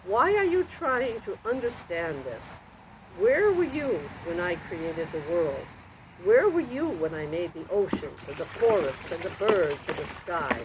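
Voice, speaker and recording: A woman's voice: lowish voice, a little slow. Woman reading as commanding God, very noisy recording. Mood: stern. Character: almighty God, male, assured and commanding. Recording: very noisy recording with steady background noise.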